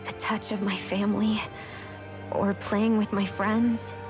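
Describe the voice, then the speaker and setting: A woman's voice: medium pitch, little pitch movement, slow pace, music in the background, close to an everyday voice. A woman playing a guarded, vulnerable young cursed maiden in a fantasy video game cutscene. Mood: tender, wistful.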